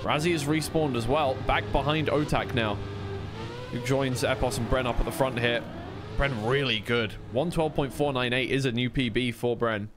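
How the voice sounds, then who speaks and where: A man commentates live on a racing game with a mid-pitched voice. He talks conversationally and tends to question and correct his own calls. Vehicle noise from the game is clearly heard behind him. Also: normal speed. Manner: conversational, second-guesses himself. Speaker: esports commentator.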